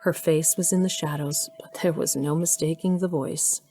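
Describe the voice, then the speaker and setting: A woman's voice: sits low, steady tone, moderate pace, mellow, slight background noise. A woman reading scripted story narration for an audio drama.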